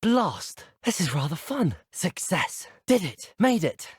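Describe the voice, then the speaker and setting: A man's voice: high voice, sing-song, slow, breathy edge, no room sound, studio quality, full character voice. A young man with a British accent playing an earnest, courteous student wizard in a video game. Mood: playful self-commentary. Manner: courteous, well-spoken, sincere.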